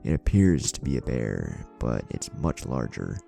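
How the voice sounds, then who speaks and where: A man's voice: a bass voice, sing-song, gravelly, dark tone, soft background music, voice pushed down, heavily acted. A man voicing a polite, grave elderly village leader in a storybook reading, speaking formally. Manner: formal, measured wording.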